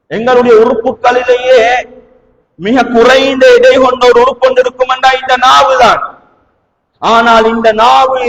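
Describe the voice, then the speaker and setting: A man's voice: very high voice, a bit bright, a little hiss or hum. A man with a Sri Lankan Tamil accent preaching a sermon emphatically, leaning on rhetorical questions. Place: mosque hall. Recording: long hall reverb.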